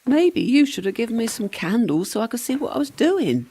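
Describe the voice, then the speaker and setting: A woman's voice: a little high, big pitch swings, brisk, mellow, a little hiss or hum. A woman playing the ghost of a flippant young servant in a comic radio play, sarcastic, teasing and breezy. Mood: mocking.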